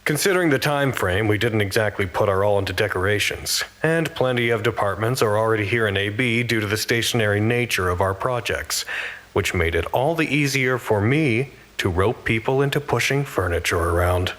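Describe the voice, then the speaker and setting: A man's voice: on the low side, a little fast, fairly bright tone, close to an everyday voice. A man playing an earnest, anxious facility worker who reports to command in a wordy, formal audio drama monologue. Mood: measured, musing.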